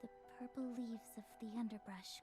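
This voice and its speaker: A woman voicing a defiant young girl in a video game, with expressive, dramatic delivery. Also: fairly high pitch, normal speed, natural voice. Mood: hushed, spooky. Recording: music behind the voice. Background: game music.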